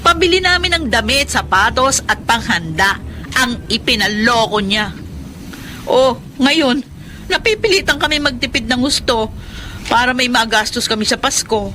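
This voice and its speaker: A woman's voice: on the high side, animated, normal speed, sharp tone, a little hiss or hum, poor audio. A woman playing a resentful, nagging wife and mother in a Tagalog radio drama, with a grumbling, exclamatory manner. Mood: irritated, grudging.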